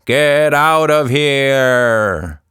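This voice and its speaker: Man as a giant grimy storybook monkey, grumpy, loud thundering shouts, raised pitch, slow. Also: expressive, no room sound, clean studio sound. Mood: angry. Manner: thundering, gruff exclamations.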